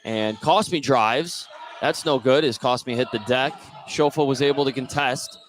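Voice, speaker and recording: A man's voice: medium pitch, measured pace, fairly bright tone. A man doing live play-by-play basketball commentary on the radio. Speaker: play-by-play announcer. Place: indoor school basketball gym. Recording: noisy courtside gym broadcast. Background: clear gym noise.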